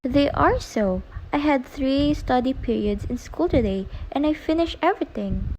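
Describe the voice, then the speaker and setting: A woman's voice: sits high, expressive, normal speed, darkish tone, muffled recording. A woman playing a chatty, restless schoolgirl daughter in an amateur radio play. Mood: defensive. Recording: faint background noise, clicks.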